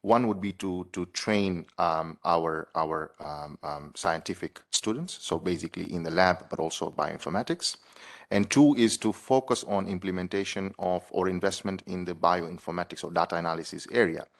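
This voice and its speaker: Male PhD candidate, deep voice, hesitant but formal replies. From Tanzania. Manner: measured, with frequent hesitations and restarts. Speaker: PhD candidate defending a thesis. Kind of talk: formal academic answers.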